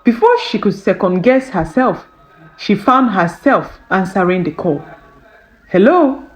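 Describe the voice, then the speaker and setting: A woman storyteller reads romantic drama with a lively, swooping melody. She takes her time over each phrase, in a measured and expressive scripted delivery at a medium pitch. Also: soft background music, rough recording. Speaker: storyteller narrator.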